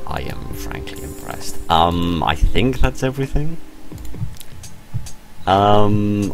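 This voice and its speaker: A British man with a very deep voice commentates on a game over background music. He reads the story text aloud with theatrical, widely swinging intonation, then breaks into incredulous, halting asides. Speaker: host of a game playthrough. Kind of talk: gaming commentary, reading visual novel text aloud with ad-libbed reactions. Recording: music under the voice.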